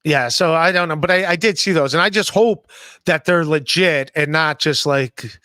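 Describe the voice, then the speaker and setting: High-voiced man hosting a podcast. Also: moderate pace, pushed and bright, clean tone, no room sound, clean studio sound. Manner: self-deprecating, reads chat messages aloud. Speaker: podcast host. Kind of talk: casual chat. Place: home room, indoors. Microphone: studio mic with headphones, video call.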